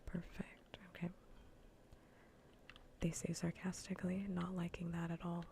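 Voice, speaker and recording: A woman whispering softly and breathily in an ASMR gaming stream, playfully bossy and dryly sarcastic, close to the microphone. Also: on the low side, monotone, unhurried, gravelly, background noise. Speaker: livestreamer.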